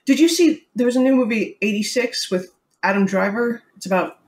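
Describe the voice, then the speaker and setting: A woman's voice: a little high, a little fast. A woman co-hosting a podcast in casual book-discussion chat, sarcastic and giggly, with rambling asides. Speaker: podcast co-host.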